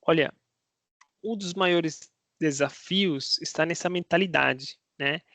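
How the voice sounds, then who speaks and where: A man's voice: a little high, slow, fairly bright tone, no room sound. A man with a Brazilian Portuguese accent, a Spanish teacher talking casually to his viewers, asking himself rhetorical questions and tagging his sentences with little checks. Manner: conversational, asks himself rhetorical questions, tags lines with "né?". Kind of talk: casual explanatory video talk to viewers.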